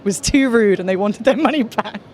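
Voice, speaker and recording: A woman's voice: medium pitch, brisk. A woman from around Liverpool, a spoken word comedian, chatting candidly with frequent laughs in a street interview outdoors. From around Liverpool, northern England.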